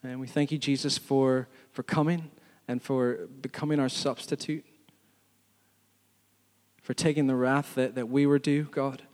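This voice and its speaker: A church leader making announcements and leading a spoken prayer, earnest and halting, with frequent pauses. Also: male voice, mid-range voice, flat delivery, a little mellow. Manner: earnest, halting, pausing often. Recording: long reverb in a church hall.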